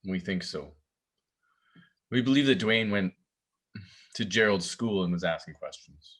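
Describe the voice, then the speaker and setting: Police investigator, male actor, low register, measured and official, careful with what he reveals. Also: a bit bright. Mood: guarded, serious. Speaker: actor at a table read. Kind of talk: scripted drama table read.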